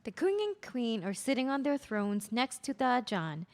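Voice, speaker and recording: A woman's voice: fairly high pitch, very animated, moderate pace. A woman narrating stage directions in a scripted reading of a play.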